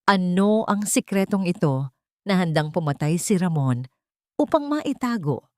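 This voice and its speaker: A woman with a Filipino accent narrating a dramatic audio story in a measured, emotive way. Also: lowish voice, animated, dark tone, dry recording, clean studio sound.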